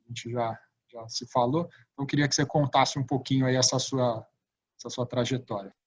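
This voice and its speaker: A man's voice: medium pitch, fairly even intonation, fairly quick, slightly rough. A man with a Brazilian Portuguese accent who hosts a travel talk show and interviews a guest, often ending his points with "né?". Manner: warm-up tags "né?" after statements. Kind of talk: hosting an interview. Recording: dull lo-fi call audio.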